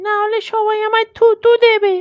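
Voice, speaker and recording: Casting: woman to play greedy pond ghost for kids' cartoon, extremely high voice, boastful, sing-song, comically menacing. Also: monotone, normal speed, no room sound, lo-fi sound. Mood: sheepish pleading. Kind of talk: Bengali children's cartoon dialogue.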